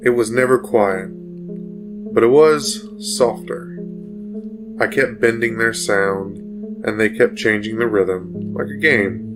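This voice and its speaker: An actor playing a haunted, feverish man changed by otherworldly torment, telling his tale with halting, brooding intensity in a gothic audio drama. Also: fairly low pitch. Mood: haunted, wondering. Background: guitar music.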